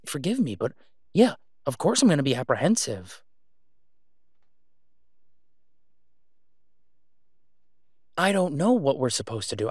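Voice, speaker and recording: A man playing a worried, devoted boyfriend in an audio roleplay, speaking haltingly and earnestly, as in intimate conversation. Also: mid-range voice, darkish tone, dry recording, clean studio sound. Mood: anxious, pleading.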